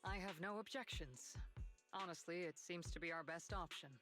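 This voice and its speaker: A woman's voice: fairly low pitch, normal speed, natural voice. A woman playing a composed, commanding colonel, music behind. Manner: formal, even-tempered, matter-of-fact. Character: composed, authoritative female military colonel. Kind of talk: video game voice acting.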